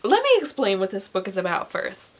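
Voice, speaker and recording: A young woman speaks in a chatty, informal way, as a book vlogger would. Her pitch is average for a woman, and she leans on words for emphasis as she gives her opinions. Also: wildly swooping pitch, a little fast. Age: young adult. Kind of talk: casual book review chat to camera.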